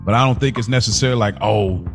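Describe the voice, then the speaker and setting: A man's voice: on the low side, fast, over music. A man co-hosting a podcast in casual, slangy conversation, often repeating and restating his points. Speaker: podcast co-host.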